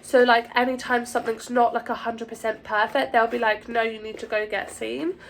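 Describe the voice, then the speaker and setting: A young woman talking anxiously to camera in a vlog, hesitant and full of fillers, her voice croaky from a sore throat. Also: a little high, level tone, normal speed, a little hiss or hum. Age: young adult. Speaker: vlogger.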